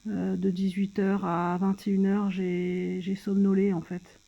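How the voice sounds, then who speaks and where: A French woman speaking casually and hesitantly, as in a personal video diary, pausing and trailing off between phrases. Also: medium pitch, completely flat delivery, gravelly, dull audio. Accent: French, from France. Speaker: vlogger documenting own surgery stay. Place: hospital room.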